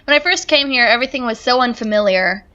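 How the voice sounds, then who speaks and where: Southern woman reading a lonely student heroine's inner thoughts, soft and reflective, pitched a bit high. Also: lively intonation, fast talker, dull audio. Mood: wistful. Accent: Southern American. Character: young woman college senior, lonely, searching for her dream. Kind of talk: reading game text aloud.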